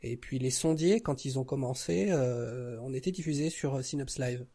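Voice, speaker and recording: Guy on a podcast, lots of vocal fry, chatting casually, kinda hesitant. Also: mid-range voice, normal speed, warm tone. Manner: hesitant, searching for words. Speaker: podcast guest, audio fiction creator. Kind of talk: casual one-on-one chat.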